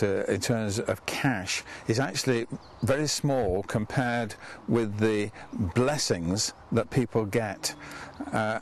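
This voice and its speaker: Older man hosting outdoors, lapel mic. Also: lowish voice. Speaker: ministry leader and host. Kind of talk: interviewing and promo to camera. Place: outdoors on a garden bench by a stone manor.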